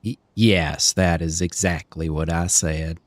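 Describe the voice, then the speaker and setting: A man playing an 82-year-old woman who has smoked since age twelve, with a deep, smoky voice and a stammering, prim politeness. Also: steady tone, takes it slowly, dry recording. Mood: prim, earnest. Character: woman of 82, chain-smoker, eager to remarry. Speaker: streamer playing a character. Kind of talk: roleplay.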